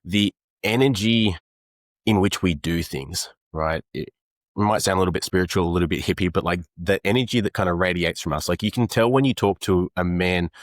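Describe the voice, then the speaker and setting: Low-voiced Australian man explaining. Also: no echo, studio quality. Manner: earnest, explanatory, fillers like "you know". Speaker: men's coach. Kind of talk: conversational interview.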